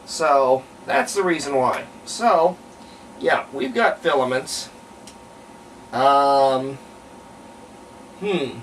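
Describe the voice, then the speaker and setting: A man narrating casual hands-on repair work, thinking aloud in short, halting phrases. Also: medium pitch, lively intonation, a little hiss or hum, low-quality recording. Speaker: hobbyist demonstrating antique radio repair. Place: room at home, indoors.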